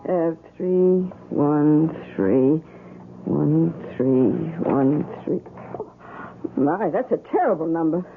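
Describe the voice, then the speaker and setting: An actress playing a fretful, fond, superstitious older aunt in a radio drama, with a hesitant way of repeating words. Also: female voice, sits low, expressive, slow pace, faint music behind, heavily acted. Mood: wistful, worried. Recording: muffled, lightly noisy old radio recording.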